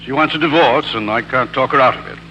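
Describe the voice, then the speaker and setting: A man's voice: medium pitch, fast, bright, forceful tone, highs cut off, natural voice. An actor playing a stiff, formal older father-in-law in a 1940s radio drama. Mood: stiff, reserved. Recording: humming, noisy 1940s radio recording.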